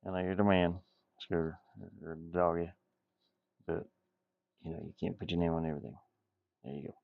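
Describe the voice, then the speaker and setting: A man's voice: low voice, fairly even intonation, fairly quick, creaky, phone-quality sound. A man giving casual, rambling commentary while he works on game art, muttering playfully to himself and repeating words. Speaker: indie game developer streaming.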